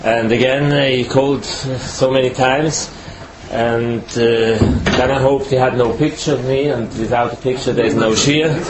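A man from Switzerland, not a native English speaker, giving a Torah lecture as a rabbi in halting, careful English. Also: medium pitch, fairly even intonation, a crowd in the background, rough recording.

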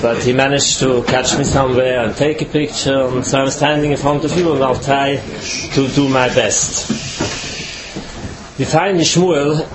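Swiss rabbi giving a torah class, english not his first language, slow and careful, mid-pitched voice, faint hum behind. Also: fairly even intonation. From Switzerland. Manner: halting non-native English, slow careful explanation. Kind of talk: Torah lecture.

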